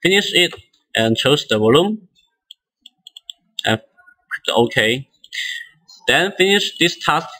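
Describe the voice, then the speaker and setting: Bright-voiced man giving a halting software tutorial. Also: fairly high pitch, lively intonation, low-quality recording. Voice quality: non-native English speaker. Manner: halting, hesitant phrasing with frequent restarts. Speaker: instructor demonstrating software.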